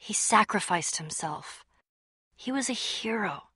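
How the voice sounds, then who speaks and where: A woman's voice: medium pitch, animated, unhurried, sharp tone, breathy edge, no echo, studio quality, heavily acted. An actress playing a bold, sardonic young woman adventurer in a video game, with a wry, punchy, casual delivery. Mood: solemn.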